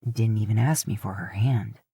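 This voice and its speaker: A woman voicing a gruff, wry cowboy ex-soldier in an audiobook, muttering dryly. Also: moderate pace, warm tone, dry recording, heavily acted. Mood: wry, teasing. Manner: low, muttering, dry.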